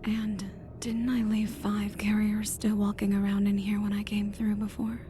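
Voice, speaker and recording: A woman's voice: mid-range voice, completely flat delivery, moderate pace, warm tone, a little hiss or hum, lightly acted. A woman playing a cool, confident, hard-edged female agent, terse and wry, in a dramatized audiobook. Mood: wry, wary. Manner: terse, self-assured inner monologue.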